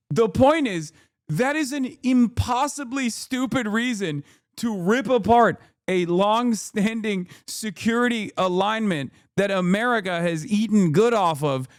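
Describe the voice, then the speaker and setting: A man in his thirties streaming casual news commentary, animated and sarcastic, laughing often and swearing freely. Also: sits high, no room sound, studio quality.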